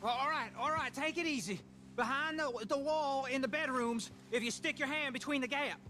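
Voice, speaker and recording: An actor playing a frightened western outlaw who pleads anxiously in a video game cutscene. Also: male voice, very high-pitched, moderate pace, bright, forceful tone, slight background noise. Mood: frightened pleading.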